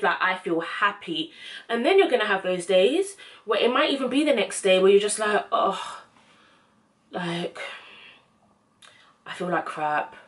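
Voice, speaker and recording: A woman's voice: mid-range voice, fairly bright tone. A young woman gives casual, encouraging advice in a slangy, informal vlog style. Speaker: self-help vlogger.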